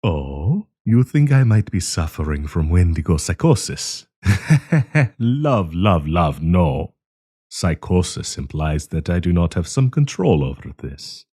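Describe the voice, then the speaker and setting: A man playing a sinister, possessive werewolf professor in an intimate audio roleplay, coaxing and menacing, with a husky, breathy voice. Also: sits low, big pitch swings, dark tone, no room sound, studio quality, full character voice. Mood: menacing, amused. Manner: slow, coaxing, menacing endearments.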